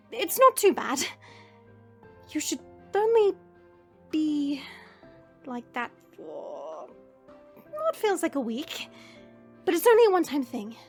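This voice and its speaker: Woman voicing a high, solemn dragon queen, music behind. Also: a little mellow, exaggerated voice. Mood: earnest explaining. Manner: measured, formal, deliberate phrasing. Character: regal female dragon queen, solemn, earnest and resolute. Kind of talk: scripted audio roleplay acting with first-person narration. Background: background music.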